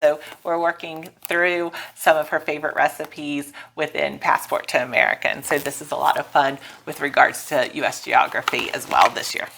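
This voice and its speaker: A woman's voice: sits low, steady tone, normal speed, creaky, few pauses, fairly bright tone. A woman, a homeschooling mother hosting a casual video update in a chatty, informal, explanatory way. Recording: noticeable background noise.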